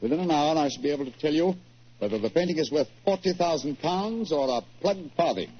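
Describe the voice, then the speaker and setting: An actor playing a cool, authoritative British detective, precise and formal, in a 1940s radio drama. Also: male voice, on the high side, animated, brisk, music in the background, lightly acted. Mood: brisk. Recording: dull, noisy 1940s radio recording.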